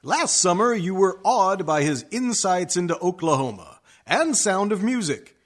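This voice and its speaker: High, lively radio host, played by a man. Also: unhurried, exaggerated voice. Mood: grandly welcoming. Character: earnest male interview host, increasingly baffled. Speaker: host introducing and questioning a guest. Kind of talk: comic radio play acting.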